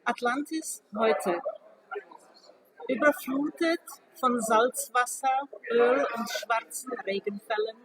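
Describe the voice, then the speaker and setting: A woman reads her poems slowly and deliberately, her voice sitting fairly high. She laughs softly between remarks. A crowd murmurs audibly behind her. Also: poor audio. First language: Czech. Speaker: poet. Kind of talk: poetry reading and interview.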